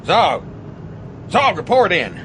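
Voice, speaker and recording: A cowboy-like American Southern Air Force major in a comic radio drama. His blustering, folksy drawl swings widely in pitch, over a clear aircraft rumble. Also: huge pitch swings, takes it slowly, exaggerated voice. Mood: alarmed, commanding. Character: folksy, baffled cowboy bomber pilot major. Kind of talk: satirical radio comedy drama. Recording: loud aircraft rumble behind.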